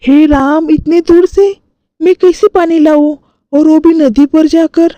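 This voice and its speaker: A woman's voice: sits high, steady tone, unhurried, dark tone, no room sound, highs cut off. A woman voicing a complaining older village mother-in-law with aching knees in a cartoon story. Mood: whining protest. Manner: complaining, protesting.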